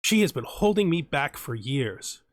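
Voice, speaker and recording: A man voicing a bitter older bandit who blames a cowardly partner, read out plainly and low-key. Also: sing-song, a little slow, dry recording. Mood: resentful.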